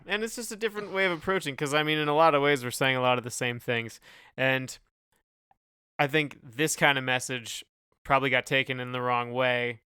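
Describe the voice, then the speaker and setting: A man's voice: mid-range voice, sharp tone, smooth, no room sound, studio quality. A man talking casually and off the cuff as a podcast co-host, hesitant and hedging, often trailing off mid-thought.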